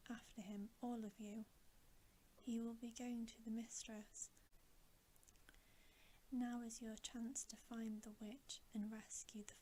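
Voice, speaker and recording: Storybook lion king, read by a woman: hushed breathy whisper, commanding and solemn, slow delivery. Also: on the high side, a little hiss or hum, poor audio. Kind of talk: ASMR storybook reading.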